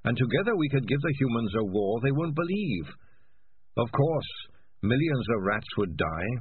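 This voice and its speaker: Gentle storybook rat voiced by a man: calm, polite, thoughtful, lo-fi and a little hissy. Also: medium pitch, moderate pace, lightly acted. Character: small, earnest, calm male rat. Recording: tinny, lo-fi sound with faint noise.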